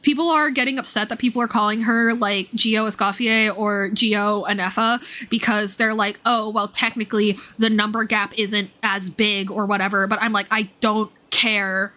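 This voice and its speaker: A woman's voice: mid-range voice, steady tone, normal speed, hardly any pauses, sharp tone. A woman live streamer chatting casually with viewers about a game, rambling in an informal, slangy way. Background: music.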